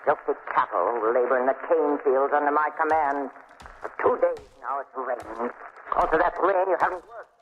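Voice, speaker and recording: A woman's voice: extremely low pitch, normal speed. A woman playing a cruel, commanding plantation mistress in an old radio horror drama, imperious in manner. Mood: bitter, menacing. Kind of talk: 1940s radio horror acting. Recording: scratchy, tinny, noisy old radio.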